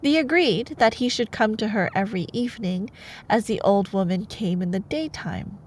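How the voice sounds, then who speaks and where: A woman reading a bedtime fairytale in a playful, expressive, wryly sarcastic way, as in a girlfriend role-play. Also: mid-range voice, normal speed, slight background noise. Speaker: ASMR storyteller playing a girlfriend.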